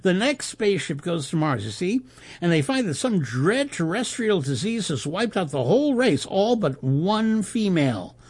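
Man playing rambling, high-pitched jovial reporter telling jokes. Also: big pitch swings, darkish tone, breathy edge, heavily acted. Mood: amused, rambling. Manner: halting, rambling raconteur with asides. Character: jovial wire-service reporter spinning a barroom joke.

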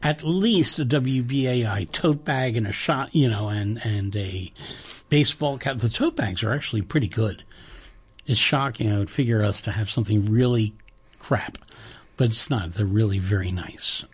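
A man hosting a radio talk show, chatting in a rambling, enthusiastic way and making a pledge-drive pitch from the studio. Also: moderate pace, slightly rough.

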